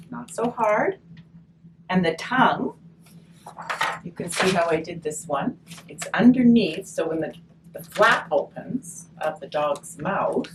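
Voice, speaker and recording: Woman doing a craft how-to, chatty n encouraging, slow pace, kinda far from the mic w/ background noise. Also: on the low side. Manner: chatty, encouraging, thinking aloud as she works. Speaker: craft workshop host. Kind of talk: casual step-by-step craft demonstration. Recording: distant mic, noisy room ambience.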